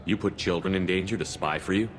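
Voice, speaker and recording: An actor playing a decisive, principled military commander in a video game, direct and businesslike. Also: male voice, low voice, quick pace, a little hiss or hum, lightly acted. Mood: concerned, firm. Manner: direct, businesslike, terse questions.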